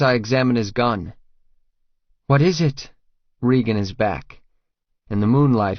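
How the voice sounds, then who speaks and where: A man narrates a first-person novel in a lowish voice. It is scripted audiobook reading. The sound is very dry, with slightly dulled top end. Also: no room sound, dull audio.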